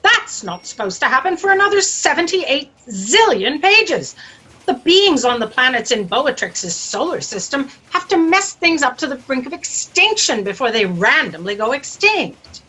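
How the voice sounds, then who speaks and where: A woman's voice: high voice, sing-song, moderate pace, pushed and bright, heavily acted, poor audio. A woman playing an imperious, exasperated boss of a cosmic office in a comedic audio drama, speaking in haughty declarations. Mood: alarmed.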